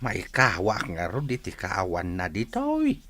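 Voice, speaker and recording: A man's voice: low voice, wildly swooping pitch, unhurried, pushed and bright, a little hiss or hum, heavily acted. A man playing a doting, forgetful old grandfather with an Ilocano accent in a comedy drama. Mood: wistful, playful. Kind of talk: Filipino comedy-drama dialogue.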